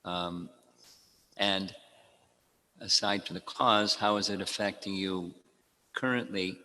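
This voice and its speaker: A man giving a devotional talk to a congregation, speaking in a measured way, repeating key phrases and quoting Sanskrit verses. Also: low voice, steady tone, sharp tone. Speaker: spiritual teacher. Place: temple hall. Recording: long reverb in a hall.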